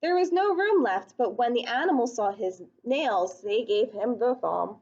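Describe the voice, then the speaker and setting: Lady with highish voice doing a storytime for little kids, expressive and chatty, bit muffled lo-fi sound. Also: measured pace. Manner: child-directed, expressive, asking the listeners questions. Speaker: storyteller reading aloud to young children. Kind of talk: picture-book read-aloud with chatty asides to children.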